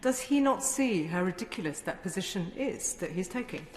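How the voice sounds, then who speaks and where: A woman's voice: mid-range voice, animated, normal speed. A woman from Bangladesh, a member of parliament, making a pointed, challenging intervention in a debate. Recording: echoey chamber feed with faint ambience.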